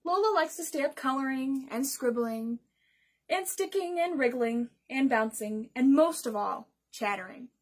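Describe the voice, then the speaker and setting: A young woman with a high voice reads a children's picture book aloud. She hosts a storytime and speaks expressively. She addresses her young listeners directly. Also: expressive, measured pace. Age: young adult. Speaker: library storytime host. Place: small bedroom indoors.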